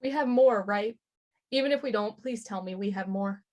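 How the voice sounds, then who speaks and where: A woman's voice: medium pitch, moderate pace, darkish tone, natural voice. A woman acting plainspoken characters in a staged reading, in a natural everyday voice. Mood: exasperated.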